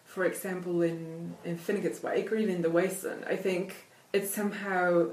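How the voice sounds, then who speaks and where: A woman's voice: fairly low pitch, level tone, moderate pace, darkish tone, distant mic. A woman whose first language is Italian, talking about literature in an interview, thoughtful and hesitant, with many fillers and restarts. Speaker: literature academic. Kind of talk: conversational interview. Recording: echoey room, off-mic.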